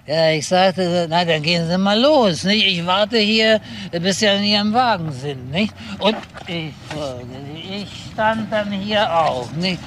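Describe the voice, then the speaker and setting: An actor playing a flustered male eyewitness in a German radio crime drama, halting and repeating himself. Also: high voice, fairly bright tone, a little hiss or hum. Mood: shaken, flustered. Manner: halting, repetitive, tags statements with "nicht?". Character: flustered male eyewitness to a fatal car incident.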